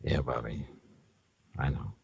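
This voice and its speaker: An actor playing a kindly, wry investigator in a 1950s radio drama, coaxing gently and narrating in an understated way. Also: male voice, very deep voice, steady tone, measured pace, creaky, slightly rough, highs cut off, full character voice. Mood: sympathetic. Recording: vintage 1950s radio recording.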